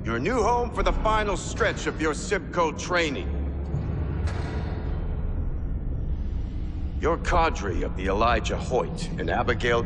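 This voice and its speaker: Game villainous commander: stern oratory dripping with contempt, dramatic swings in pitch, over a loud score. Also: male voice. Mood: stern, commanding. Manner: stern, scornful, theatrical oratory. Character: contemptuous, imperious male drill commander of mech cadets. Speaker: military training commander. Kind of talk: video game cutscene acting. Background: loud music.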